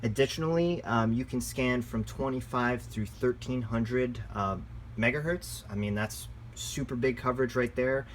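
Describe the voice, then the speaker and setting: A man's voice: medium pitch, normal speed. Man reviewing gadgets casually over background noise. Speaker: product reviewer and radio shop presenter. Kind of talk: casual product review, unscripted.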